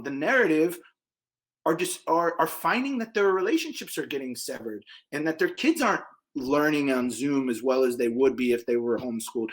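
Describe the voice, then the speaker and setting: Egyptian-born guy on a podcast, blunt and emphatic, voice leaps up high when he makes a point, swears casually. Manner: emphatic, blunt, swears, big pitch jumps on key points. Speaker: podcast guest, small business owner.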